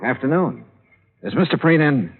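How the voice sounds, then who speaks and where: A dull vintage radio recording with music behind it carries a hardboiled male investigator. He is wry and clipped, with sardonic deadpan lines that still move up and down in pitch, in the manner of a 1950s detective serial. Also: moderate pace, slightly rough. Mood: cool, insistent.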